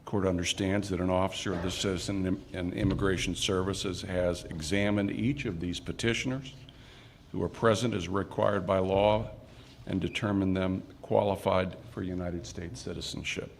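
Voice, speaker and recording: A man's voice: low-pitched, fairly even intonation, moderate pace, vocal fry, a little hiss or hum. A man serving as judge, presiding over a naturalization ceremony in brief, formal court phrasing. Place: community recreation center hall.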